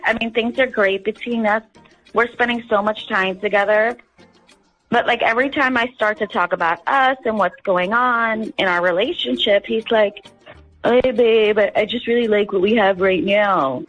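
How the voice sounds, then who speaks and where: A woman's voice: on the high side, normal speed, fairly bright tone, faint music behind, low-quality recording. A woman calling in to a radio show, chatting casually in a hesitant, rambling way full of fillers. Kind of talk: casual chat.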